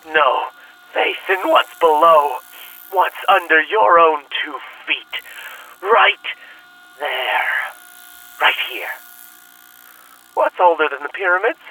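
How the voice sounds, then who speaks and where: An actor playing a male cult leader who preaches a sinister manifesto in a hushed, drawn-out way, heard as a tape recording. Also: a little high, exaggerated voice. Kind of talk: audio drama acting. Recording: distant tape playback.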